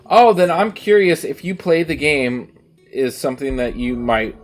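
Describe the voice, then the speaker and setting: A man's voice: on the high side, expressive, unhurried. Casual game-streaming man, music behind. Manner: opinionated, emphatic, sweary. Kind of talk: casual livestream chat over gameplay.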